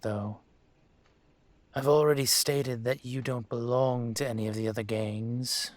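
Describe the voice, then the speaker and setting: Man playing a slow, menacing dragon mafia boss. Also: on the low side, dry recording. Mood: smug, amused. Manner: measured, condescending, drawling menace. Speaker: audio roleplay performer. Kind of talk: scripted roleplay monologue to the listener.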